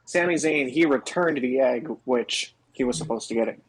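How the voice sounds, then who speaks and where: A man with a medium-pitched voice takes part in a podcast panel. He speaks informally, as in a casual discussion among co-hosts. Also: moderate pace, slight background noise, rough recording.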